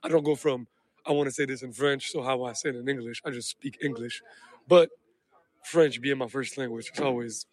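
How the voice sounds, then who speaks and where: A man whose first language is Arabic, answering interview questions in a halting way, searching for words. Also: medium pitch, fairly even intonation.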